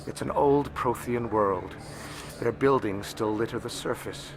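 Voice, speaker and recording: An actor voicing a courteous, worldly alien merchant in a video game, speaking formally and musingly. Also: male voice, medium pitch, a little slow, noisy background, natural voice.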